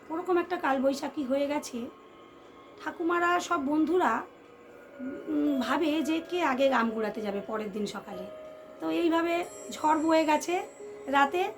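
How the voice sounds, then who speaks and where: A woman tells a ghost story to listeners in an expressive, suspenseful way, repeating phrases for effect. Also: high-pitched, background noise. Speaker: storyteller, channel host. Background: background music.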